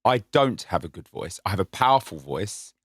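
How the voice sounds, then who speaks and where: A man's voice: low-pitched, very animated, normal speed, a bit bright, slightly rough, no room sound, clean studio sound. A man co-hosting a casual podcast, telling stories in an enthusiastic, self-mocking way. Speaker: podcast co-host. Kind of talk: casual chat.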